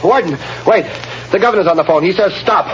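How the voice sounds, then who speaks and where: An actor playing an urgent prison messenger in an old radio drama, excited and colloquial. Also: male voice, high-pitched, quick pace, lightly acted. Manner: breakneck, excited, colloquial delivery. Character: urgent male prison messenger bringing a reprieve. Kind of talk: 1930s radio drama acting. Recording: dull, hissy vintage radio broadcast.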